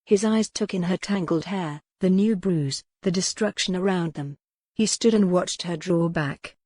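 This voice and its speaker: A woman's voice: fairly low pitch, measured pace, a little mellow, dry recording. A woman reading a historical romance novel aloud as a dramatic audiobook narrator.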